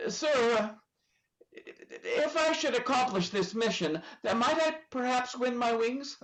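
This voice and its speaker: A woman's voice: a little high, animated, unhurried, poor audio. A woman playing an eager, naive apprentice angel in a radio play, polite, hesitant and stammering. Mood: hopeful, sheepish. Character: eager, flustered, naive two-hundred-year-old apprentice angel. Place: video call.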